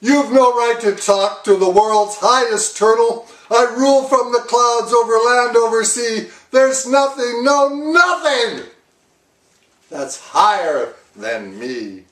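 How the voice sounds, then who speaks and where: Storybook turtle tyrant, played by a man: grandiose, commanding, high-pitched, slightly off mic. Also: lively intonation, slow, a bit bright, reverberant, far from the mic, exaggerated voice. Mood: indignant, boastful. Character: pompous, boastful, bullying male turtle king. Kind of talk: theatrical children's storybook read-aloud.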